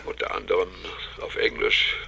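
An actor playing an irascible, self-important professor in a German audio drama, lecturing and snappish. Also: male voice, normal speed, bright, forceful tone. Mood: agitated, indignant.

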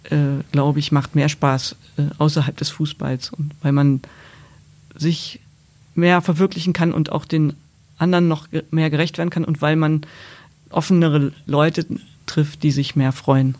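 Woman journalist guest, pretty flat even voice, lots of restarts and ums. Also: low-pitched, unhurried. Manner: thoughtful, hesitant restarts. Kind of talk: podcast interview answers.

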